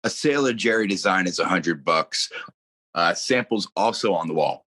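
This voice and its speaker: A performer playing a curt, bored male tattoo artist who answers in a terse, flat deadpan, utterly indifferent. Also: low-pitched, moderate pace, dry recording.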